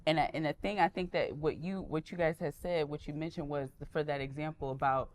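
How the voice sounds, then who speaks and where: A young woman in her twenties with a fairly low voice, chatting on a panel. She talks in a lively, rambling way and keeps restarting her thoughts before landing them. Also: fairly quick, slight background noise. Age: 20s. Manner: animated, rambling, often restarts mid-thought. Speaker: panel guest. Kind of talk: casual chat.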